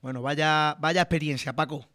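Friendly radio sports host. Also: male voice, on the high side, steady tone, normal speed, bright, forceful tone. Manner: friendly, conversational, with frequent tag questions. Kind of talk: local radio sports interview.

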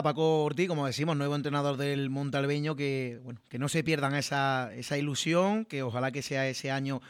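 A man's voice: mid-range voice, clear, resonant tone, no room sound. A man hosting a radio sports interview, chatty and full of fillers.

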